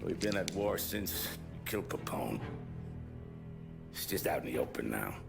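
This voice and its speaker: An actor playing a volatile, ruthless mob boss in a video game, sardonic and gravelly, halting and then explosive. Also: male voice, extremely low pitch, big pitch swings, exaggerated voice. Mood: grim. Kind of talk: cinematic game voice acting. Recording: music behind the voice.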